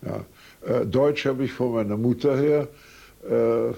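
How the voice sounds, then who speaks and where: An older Swiss man whose first language is French tells his life story to an interviewer. His voice is dark and husky, and he rambles through anecdotes with many hesitations and restarts. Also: medium pitch, measured pace, lo-fi sound. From Switzerland. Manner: rambling, anecdotal, frequent hesitations and self-corrections. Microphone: recorded on tape.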